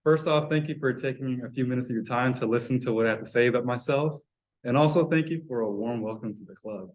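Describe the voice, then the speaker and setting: Young American man in his twenties, mid-pitched, delivering a sincere prepared speech at an even, measured pace. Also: poor audio. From United States. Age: 20s. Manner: earnest, measured, sincere delivery with occasional fillers. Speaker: club member giving a speech. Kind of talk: prepared ice-breaker speech.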